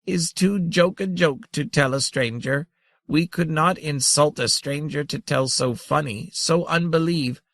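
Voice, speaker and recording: A man's voice: a little high, a little slow, fairly bright tone, pitched above the performer's own voice. A man voicing a tiny, ancient, giggling female creature who teases and chitters playfully. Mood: teasing. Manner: tinkling, chittering, teasing.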